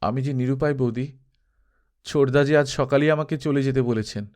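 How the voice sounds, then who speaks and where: A man's voice: medium pitch, fairly even intonation, moderate pace, darkish tone, natural voice. A man voicing a meek, helpless young man with a hesitant, submissive manner in an audiobook novel. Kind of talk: dramatised audiobook reading of a classic novel.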